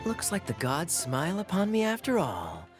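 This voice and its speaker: An actor playing a suave, sly male knight captain in a video game cutscene, teasing and smooth with playful chuckles. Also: high voice, wildly swooping pitch, normal speed, over music.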